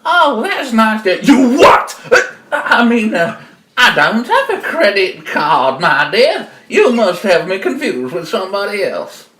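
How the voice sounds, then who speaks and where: A woman's voice: mid-range voice, sing-song, unhurried, fairly bright tone, echoey room, voice pushed up. A woman voicing a storybook wolf who poses as a doting grandma, hesitant and falsely sweet. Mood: bluffing.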